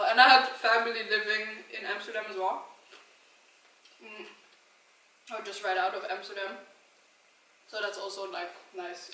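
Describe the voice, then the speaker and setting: A woman's voice: medium pitch, pushed and bright, slight background noise. A young woman from Switzerland chatting casually in a Q&A, rambling with fillers and giggling often. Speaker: vlogger answering questions. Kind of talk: casual chat. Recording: echoey room, mic at a distance.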